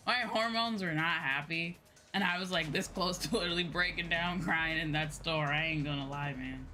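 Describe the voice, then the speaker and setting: Low-voiced woman streamer, loud music behind. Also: unhurried. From outside Japan. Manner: informal, expressive, rambling, swears freely. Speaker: VTuber game streamer. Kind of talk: casual livestream chat over a video game. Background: game music.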